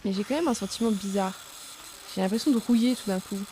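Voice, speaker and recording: A woman playing a caring, frank robot in an audio drama, conversational and gently probing. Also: mid-range voice, quick pace, faint music behind, close to an everyday voice. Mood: uneasy, curious. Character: caring, frank female robot being oiled and serviced. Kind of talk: French audio drama dialogue.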